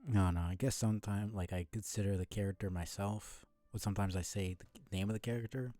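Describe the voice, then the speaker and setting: A man streaming a video game with casual commentary, musing and thinking aloud in a low-key way. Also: steady tone, fairly quick, dark tone, no echo, soft background music.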